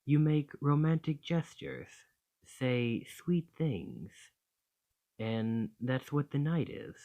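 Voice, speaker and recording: A man voicing a gentle, bashful dragon in a romantic ASMR roleplay, tender and hesitant, doting on a partner. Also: medium pitch, animated, takes it slowly, gravelly. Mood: tender, smitten. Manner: flustered, hesitant, tender, with sighs and stammers.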